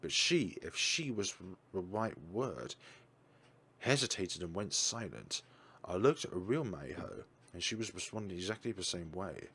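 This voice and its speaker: A man reading a visual novel aloud for his channel, in a steady voice with some slips and restarts. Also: sits low, a little slow, husky. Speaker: game reader.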